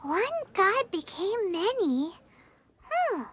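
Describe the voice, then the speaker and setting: A woman voicing a small, cheerful, chatty fairy companion in a video game, with a squeaky childlike voice and bubbly exclaiming manner. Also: big pitch swings, slow pace, noisy background, full character voice. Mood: puzzled.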